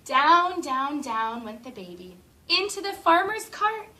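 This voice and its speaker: A woman teacher reads a storybook aloud to a classroom of young children, stretching her phrases and breaking off to ask them eager questions. Her voice is somewhat high and very bright. She is picked up from across the room on a camera, with a faint hiss underneath. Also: very animated, measured pace, far from the mic. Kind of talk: reading a picture book aloud to children.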